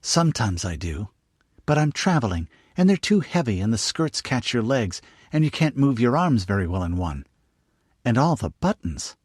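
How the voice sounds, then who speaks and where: A man's voice: medium pitch, sing-song, normal speed, darkish tone, breathy edge, dry recording, clean studio sound, voice pushed up. A man voicing an earnest young princess who explains things matter-of-factly.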